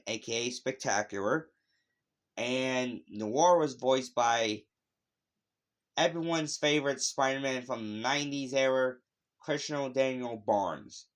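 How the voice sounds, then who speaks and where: Casual, rambling man giving opinions. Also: mid-range voice. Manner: rambling, digressive, conversational. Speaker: YouTube video host reviewing animated shows. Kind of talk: casual unscripted opinion vlog.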